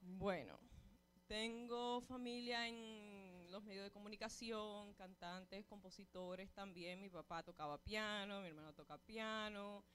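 A woman in her twenties with a Dominican accent, a singer chatting casually as a TV interview guest, agreeable and quick to echo the interviewer. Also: medium pitch, steady tone, fairly bright tone. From Dominican Republic. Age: 20s. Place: TV studio. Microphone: handheld microphone.

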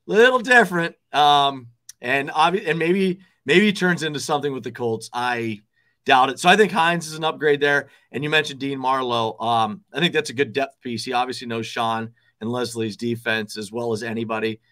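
A man's voice: medium pitch, normal speed, sharp tone. A man in his thirties, a TV sports director, giving confident, emphatic sports analysis over a video call from an office. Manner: emphatic, confident, repeats words for stress. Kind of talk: casual sports analysis chat. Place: office with desks, indoors.

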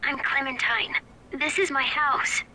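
A woman's voice: high-pitched, steady tone, unhurried, pushed and bright, slight background noise, full character voice, low-quality recording. A woman voicing a small, guarded eight-year-old girl in a video game, heard over a walkie-talkie. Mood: guarded, quiet.

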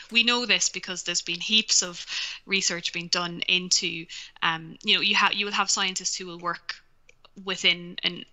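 A woman physicist in her forties answers on a headset over a video call. Her voice sits a little low but sounds very bright and sharp. She explains at length and checks in with "right?". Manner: explanatory, thoughtful, tags with "right?". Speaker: physicist guest. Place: home room, indoors.

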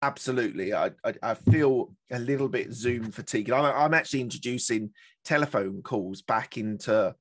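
A man's voice: medium pitch, moderate pace, a bit bright, no room sound. A male podcast host with a middling voice asks his guest questions in a chatty, rambling way. He drifts into asides and backtracks before he finishes his point.

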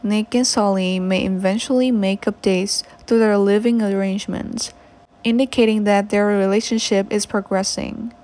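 A woman reading scripted entertainment narration in a smooth, even read-aloud delivery. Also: mid-range voice, normal speed. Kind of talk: scripted narration of soap opera spoilers.

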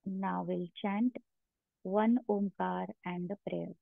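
A woman guiding a meditation as a yoga instructor, giving measured instructions with long pauses between them. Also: on the low side, fairly even intonation, vocal fry, dry recording. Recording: narrow phone-like sound, very dry.

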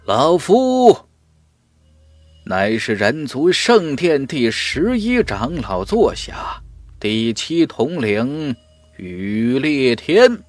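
Man doing a stately old commander, very drawn-out. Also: medium pitch, big pitch swings, no echo, soft background music, pitched above the performer's own voice. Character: authoritative purple-bearded old male temple commander.

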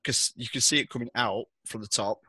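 A man's voice: mid-range voice, steady tone, brisk, sharp tone, slightly rough, no room sound. A man acting as game master on a video call, casually describing scenes and giving rulings.

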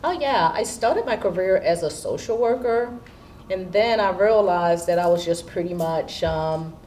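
A woman's voice: lowish voice, unhurried, darkish tone. A woman in her fifties from Haiti, an assistant principal answering questions in a seated interview. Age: 50s. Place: indoor school studio with curtain backdrop. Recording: distant mic with background room noise.

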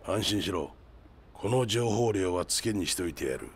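A composed older informant of the underworld, very deep in pitch. He sounds measured and self-assured, in a dramatic game cutscene over faint ambient noise. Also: animated. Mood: composed, knowing. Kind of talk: dramatic video game acting.

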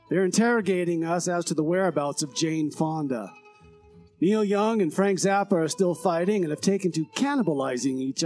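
A man's voice: on the high side, a little mellow, natural voice. A man playing an upbeat, unflappable traveler in comedy radio theater, reporting disasters in a breezy, casual, singsong letter. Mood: breezily apologetic.

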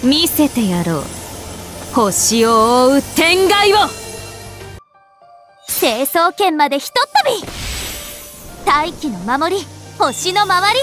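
A woman playing a regal, serene young planetary spirit princess in an anime game, speaking formally and with measured elegance. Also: high voice, big pitch swings, fairly bright tone, heavily acted. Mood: imperious, solemn, then playful, energetic. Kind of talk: scripted anime game voice lines. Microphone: studio microphone. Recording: music behind a close dry studio voice.

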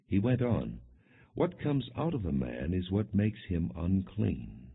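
A man reading scripture as an audiobook narrator in a measured, solemn, clearly articulated way. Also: deep voice, sing-song. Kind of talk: scripted narration, non-dramatized Bible reading. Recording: muffled, narrow-band lo-fi audio.

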